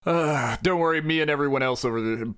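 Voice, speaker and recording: A man's voice: fairly high pitch, brisk, fairly bright tone, clear, resonant tone. A man in his forties co-hosting a casual gaming podcast, bantering with self-mocking humor. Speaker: podcast co-host. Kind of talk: casual chat.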